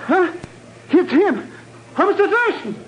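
An actor playing an excitable, anxious comic sidekick in a 1950s radio drama, stammering and repeating himself. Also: male voice, extremely high pitch, measured pace, heavily acted. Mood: relieved. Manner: flustered, stammering, repeats words. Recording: noisy vintage radio recording.

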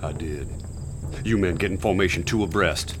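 An actor playing a grim, commanding police inspector who recounts a raid in a radio drama. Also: male voice, low-pitched, normal speed, slight background noise, lightly acted. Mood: firm, commanding. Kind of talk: radio drama acting with narration. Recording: music under the voice.

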